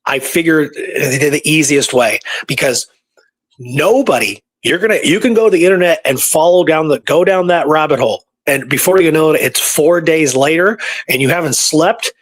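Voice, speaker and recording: A man's voice: a little high, moderate pace, fairly bright tone, slightly rough, no echo. A man co-hosting a casual history discussion, speaking emphatically and repeating points for stress. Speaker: co-host of a history talk show.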